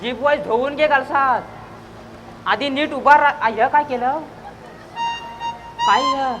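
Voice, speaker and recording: Young performer playing high-pitched, exasperated bullying villager. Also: measured pace, music in the background, poor audio. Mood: threatening, bossy. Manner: scolding, interrupting, firing repeated questions. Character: exasperated, bullying villager demanding his money back. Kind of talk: amateur comic stage play acting. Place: school stage event, live telecast.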